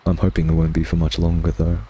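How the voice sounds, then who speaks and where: A man's voice: low-pitched, monotone, fast talker, mellow, slight background noise, close to an everyday voice. A man playing a smug, hungry vampire who teases and taunts sardonically in an intimate ASMR roleplay monologue. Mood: hungry, impatient. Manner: sardonic, taunting, drawling, theatrical. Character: smug, teasing, hungry male vampire nemesis.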